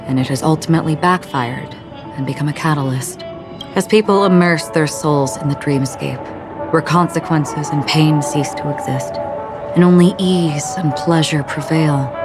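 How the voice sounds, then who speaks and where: A quiet, melancholic swordswoman from a video game, voiced by a woman, with a strikingly low voice for a woman. She speaks in a measured, solemn way. Game music plays clearly behind her. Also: close to an everyday voice. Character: calm, melancholic, forgetful female swordswoman, young adult. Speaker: wandering swordswoman. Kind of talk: game voice acting.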